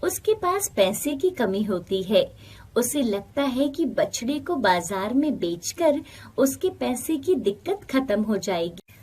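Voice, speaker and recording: Woman, high voice, very unhurried, scripted story narration, faint noise behind.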